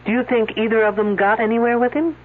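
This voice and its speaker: An actress playing a sharp detective who questions a witness in a dry, pointed way, in a radio drama. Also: female voice, a little high, fast, close to an everyday voice. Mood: probing. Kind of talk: 1970s radio drama acting. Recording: tinny old radio broadcast.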